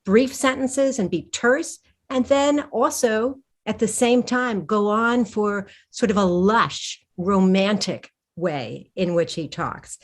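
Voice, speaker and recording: A woman author in an interview, answering thoughtfully with frequent restarts and pauses. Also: medium pitch. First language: English. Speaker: historical fiction author, guest.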